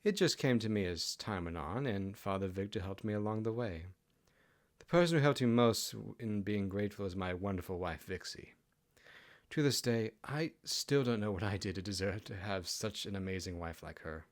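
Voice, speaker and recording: A man's voice: fairly low pitch, animated, normal speed, lightly acted. A man voicing a humble, grateful kitsune family man in an audiobook, plainspoken and earnest. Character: humble, grateful kitsune peasant husband and father.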